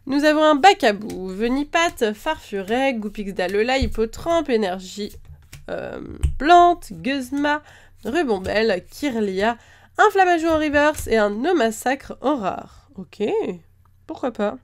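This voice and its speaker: A woman's voice: a little high, expressive, takes it slowly. A woman with a slight cold, chatting casually as she opens card packs and lists each one, with lots of hesitant fillers. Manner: chatty, lists items one after another, frequent "euh" fillers. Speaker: card-opening video host. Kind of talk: casual chat while opening trading card packs.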